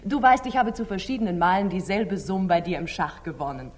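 An actress playing a shrewd, proud, devoted sister of a sultan, declaiming precisely in the theatrical style of 1940s German radio drama. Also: female voice, fairly low pitch, brisk, natural voice. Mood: commanding, soothing. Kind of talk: 1940s German radio stage-classic acting.

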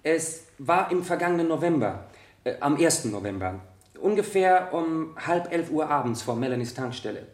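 A man playing a young student witness in a radio courtroom drama, halting and stammering yet insistent. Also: a little high, lively intonation, close to an everyday voice. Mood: hesitant. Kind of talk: radio play courtroom dialogue. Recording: roomy 1970 radio drama studio.

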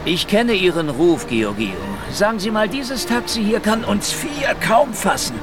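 A wry, brazen man with a gun who gives orders mockingly. His fairly high voice moves very freely in a radio drama, with clear music behind it. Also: very animated, unhurried, distant mic. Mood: wry, commanding. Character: sardonic, brazen male gunman on the run. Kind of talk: German radio drama acting.

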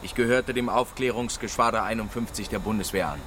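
An actor playing a captured German military pilot who answers in clipped, insistent, defensive lines. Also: male voice, fairly low pitch, fairly quick, a bit bright, slight background noise, lightly acted. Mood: flat recounting. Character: captive German male air force pilot, stubborn and defiant. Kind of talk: radio drama acting.

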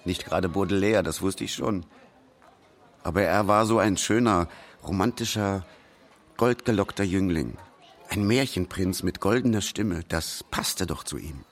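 An admiring man of the music world reminisces in a radio play. His voice is fairly low, and he tells things fondly and expressively. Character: admiring male songwriter or associate reminiscing fondly. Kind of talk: radio drama monologue.

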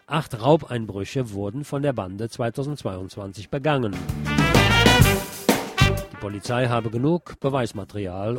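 A man presenting a read news report, measured and lifting his voice for listings and headings. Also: medium pitch, darkish tone.

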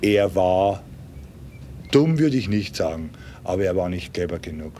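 A man's voice: deep voice, lively intonation, unhurried, mellow, slight background noise. A man being interviewed, talking chattily and tagging his sentences with little questions. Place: Miami.

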